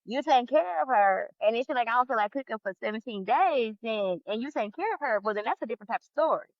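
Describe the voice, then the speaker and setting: A woman's voice: on the high side, lively intonation, fast, dry recording, dull audio. A woman co-hosting a casual relationship debate, challenging the others with pointed questions. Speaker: podcast co-host.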